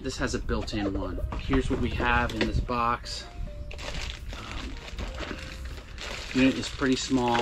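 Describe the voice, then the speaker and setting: An American man in his fifties hosting a casual hands-on DIY vlog, talking in a halting way as he thinks aloud, recorded on a boat by a camera in front of him. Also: mid-range voice. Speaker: video host. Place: outdoors on a small boat under a canvas top at a marina.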